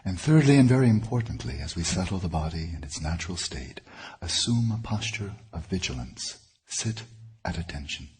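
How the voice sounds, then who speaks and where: A man leading a guided meditation as a teacher, speaking in deliberate, measured phrases with pauses. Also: deep voice, lively intonation, darkish tone, slightly rough.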